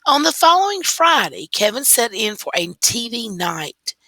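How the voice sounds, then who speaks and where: A woman reading a children's story aloud in a deliberate, expressive way, stressing key words. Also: a little high, dry recording. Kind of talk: scripted story read-aloud.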